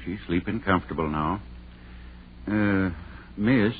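An actor playing a blunt, folksy small-town doctor in 1930s radio drama style, on a scratchy old recording. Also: male voice, sits low, takes it slowly, narrow, tinny audio. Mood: matter-of-fact.

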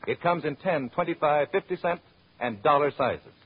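A man's voice: a little high, moderate pace, breathy edge. An American man delivering a scripted 1930s radio commercial as an announcer, in a friendly, direct sales style. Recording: muffled vintage radio recording.

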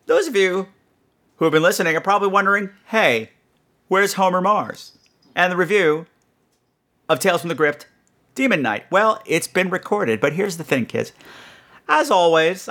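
Animated, high-pitched theatrical male podcast host. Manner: theatrical, campy. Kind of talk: chatty comic podcast monologue.

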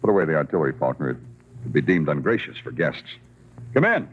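An actor playing a hard-boiled, sardonic New York police detective in a 1950s radio crime drama, with tough, clipped delivery. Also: male voice, a bass voice, very animated, quick pace, a little hiss or hum. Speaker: lead actor and narrator. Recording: dull old radio recording with music.

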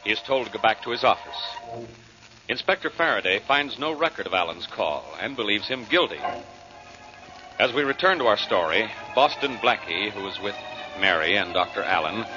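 A man's voice: fairly low pitch, expressive, normal speed. An American man narrating as a 1940s radio drama announcer, delivering scripted, dramatic recaps. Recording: muffled old radio recording. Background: music.

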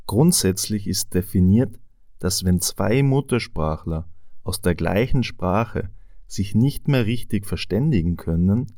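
A man with an Austrian accent explains things to language learners in a calm, measured way. His voice is low and mellow. The recording is dry and close, with no room sound. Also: dry recording. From Tyrol, Austria. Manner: clear, measured explanation with rhetorical questions. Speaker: language teacher.

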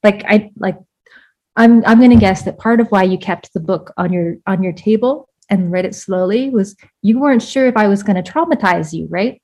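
Woman interview guest, relaxed mellow voice, talks candid n casual, says like a lot, kinda reflective. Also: medium pitch, normal speed. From United States, born in Vietnam. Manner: candid, informal, peppered with "like".

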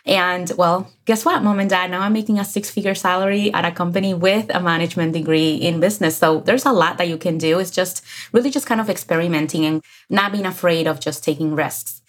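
An energetic woman in her thirties from the Dominican Republic, speaking with a Dominican Spanish accent as a guest on an interview podcast, who laughs often. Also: fairly low pitch, a little fast. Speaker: guest, career coach. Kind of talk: casual interview chat.

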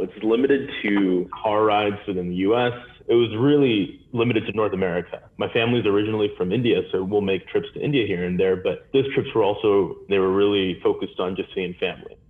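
A man's voice: lowish voice, fairly quick. A man in his twenties, an alumnus guest, answering reflectively over a video call. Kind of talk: remote interview answers. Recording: tinny, echoey call audio.

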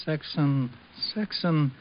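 Slow-spoken old Chinese informant. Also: male voice, fairly high pitch, soft background music. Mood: cagey, measured. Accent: put-on Chinese. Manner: formal, cryptic, pidgin-like clipped grammar. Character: old, cagey, courteous Chinese informant man of Chinatown. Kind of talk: 1950s radio drama acting. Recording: muffled vintage radio recording.

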